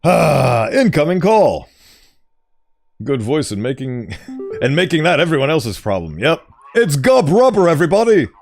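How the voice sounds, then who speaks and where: A man with a smooth voice streaming a game, chatting casually and playfully reading game text aloud in a mock-formal tone. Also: fairly high pitch, very animated, unhurried, faint music behind.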